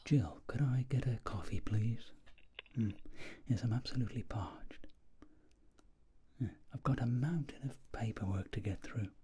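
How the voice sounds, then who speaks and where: A man performing an ASMR doctor roleplay in a soft, breathy whisper and low murmur, gently and politely. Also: measured pace.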